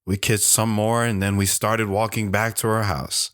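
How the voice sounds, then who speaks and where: A man's voice: fairly low pitch, little pitch movement, normal speed, fairly bright tone, clean tone, lightly acted. A man playing an earnest, lovestruck lone wanderer recounting his life in a reflective, sentimental audio drama style. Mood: tender, nostalgic. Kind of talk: dramatic first-person audio drama narration.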